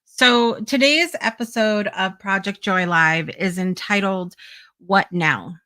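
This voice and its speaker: A woman's voice: medium pitch, takes it slowly, pushed and bright, no echo. A woman hosting a live talk show, speaking in an earnest, encouraging way, with fillers and repeated phrases.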